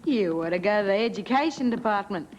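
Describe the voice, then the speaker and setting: A woman's voice: mid-range voice, lively intonation, fast, muffled recording, a little hiss or hum, lightly acted. A woman playing a brash, sardonic job office clerk in a satirical radio play, blunt and slangy. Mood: weary scorn.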